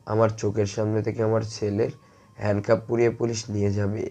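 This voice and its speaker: Troubled scolding father played by a man, middle-aged, low and dark, audio story. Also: monotone, a little fast. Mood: anguished.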